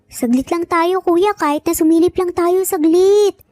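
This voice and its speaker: Woman voicing very high-pitched earnest little boy. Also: measured pace, warm tone. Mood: worried, urging. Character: young triplet boys, eager and earnest little brothers. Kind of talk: dramatized story dialogue.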